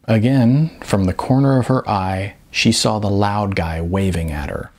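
A middle-aged man giving an expressive scripted narration, as in an audiobook. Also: fairly low pitch, unhurried. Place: small bright room indoors.

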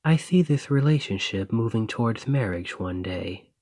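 A man playing a devoted, gentle boyfriend in a romantic ASMR roleplay, soft and husky, with a tender, reassuring manner. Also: lowish voice, animated, normal speed, no room sound, studio quality. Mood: loving, earnest.

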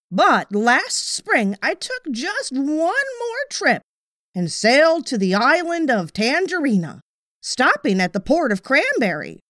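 A woman's voice: high-pitched, no echo, clean studio sound, pitched above the performer's own voice, exaggerated voice. Woman voicing a courteous, lilting old alley cat. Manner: courteous, unhurried, expressive. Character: polite old female alley cat, well-travelled storyteller.

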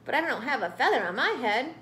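A woman's voice: sits high, big pitch swings, fast, sharp tone, echoey room, slight background noise, heavily acted. A woman voicing a curious, matter-of-fact farm cow in a storybook. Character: plain-spoken, curious female farm cow.

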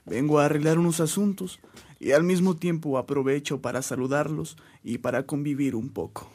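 A man's voice: fairly high pitch, steady tone, moderate pace, darkish tone. A man playing a dignified, courteous churchman in a radio drama, formal and polite. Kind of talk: Spanish-language radio drama acting.

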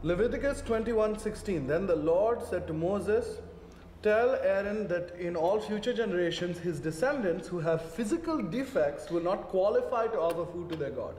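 Brief: eager young man, slightly high voice, echoey hall. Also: lively intonation, normal speed, a little mellow. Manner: eager, reads aloud. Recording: echoey hall, faint noise.

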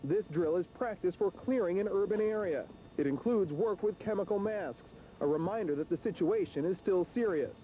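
A man's voice: moderate pace, mellow, poor audio. A man in his thirties reporting live for TV news with a handheld microphone, outdoors on location. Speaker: TV field reporter. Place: outdoors at an army base, on location. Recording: faint hiss and rumble, old TV broadcast.

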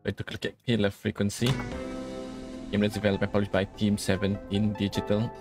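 A man's voice: lowish voice, monotone, brisk. A man hosting a let's play, giving gameplay commentary. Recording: music behind the voice.

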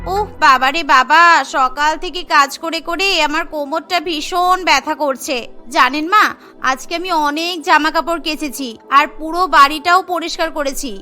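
A woman's voice: high-pitched, a little slow, faint music behind. A woman voicing a scheming young daughter-in-law who is sly and greedy, with a wheedling, bossy delivery. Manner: wheedling, then bossy and sharp.

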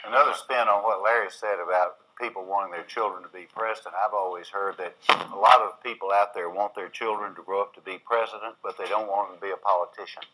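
A man's voice: fairly low pitch, steady tone, fast, few pauses, sharp tone, breathy edge, rough recording. Folksy, jovial older man on a panel. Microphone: lapel mic.

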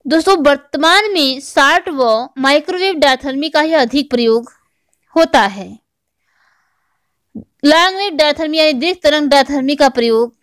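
Indian female tutor, high voice, didactic exam-prep delivery with repeated key points, very dry close recording. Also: fairly bright tone, dry recording. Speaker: online physical education teacher. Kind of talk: explanatory exam-prep lecture.

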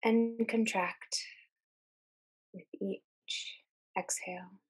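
Slow, breathy woman guiding meditation. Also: mid-range voice. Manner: calm, soothing, with pauses between short phrases. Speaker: meditation guide. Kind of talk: guided meditation, calm scripted narration.

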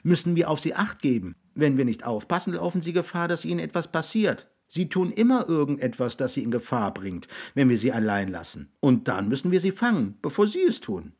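Storybook young boy, played by a male reader: high, slightly husky, solemn, patiently explaining. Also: very animated, fairly quick, few pauses, heavily acted. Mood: earnest, patient. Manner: patient, gently insistent explaining. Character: earnest young boys, patient and solemn brothers.

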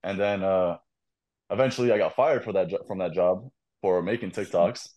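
A young man, a podcast guest and content creator, telling animated stories in casual chat over a video call. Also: low voice, normal speed. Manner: animated storytelling, laughs often.

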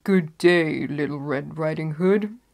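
Big bad fairy-tale wolf played by a woman: crafty, falsely friendly, low, emphatic. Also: slow pace, creaky, a little mellow, exaggerated voice.

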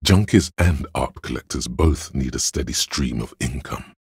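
A man voicing the cold, dry inner logic of a detective in a video game, measured and analytical, with a gravelly, husky delivery. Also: very deep voice, expressive, darkish tone, dry recording, full character voice. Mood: sardonic, detached. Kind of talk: scripted video game voice acting, second-person narration.

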